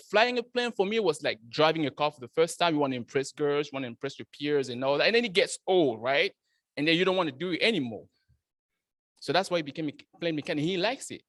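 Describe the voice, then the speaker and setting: A man from Cameroon giving his first prepared club speech, asking the audience questions and often restarting his words with lively emphasis. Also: fairly high pitch, no room sound, clean studio sound.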